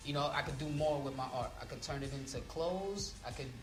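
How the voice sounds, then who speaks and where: A man's voice: fairly high pitch, brisk, echoey room, music in the background. A man who grew up in Trinidad, chatting casually as a guest artist in an interview and filling his talk with "you know" and "um". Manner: laid-back, with many fillers.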